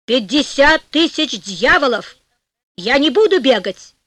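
A woman's voice: sits high, very animated, unhurried, a bit bright, no echo, heavily acted. A woman voicing a big, stubborn, hot-tempered male innkeeper in a storybook, blustering and emphatic. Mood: angry, indignant.

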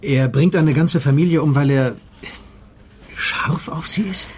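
An actor playing a sceptical police officer in a radio drama, official and matter-of-fact. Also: male voice, on the high side, a little slow, husky. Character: sceptical, businesslike male police officer on duty.

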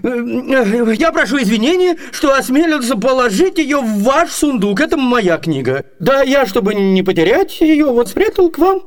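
A man's voice: extremely high pitch, sing-song, moderate pace, few pauses. An actor playing a crafty, cheeky male servant who bumbles through lies with stammers and asides, in radio theatre comedy. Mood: sly, evasive.

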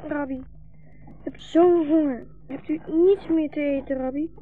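A woman's voice: high-pitched, fairly even intonation, measured pace, soft background music. A woman playing a hungry, trusting young refugee boy, childlike and earnest, in a 1960s Dutch radio drama. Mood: plaintive. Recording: dull, muffled 1960s radio recording.

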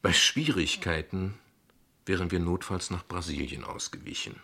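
Guarded father, gravelly, low-pitched, measured, ironic eloquence, radio play dialogue. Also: very animated, bright, forceful tone, exaggerated voice. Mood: ironic, defensive.

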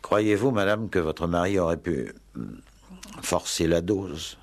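An actor playing a discreet, measured police inspector who speaks politely and deliberately, in 1960s French radio drama style. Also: male voice, low-pitched, animated, vocal fry, exaggerated voice. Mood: tactful, probing. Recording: vintage 1960s radio play recording.

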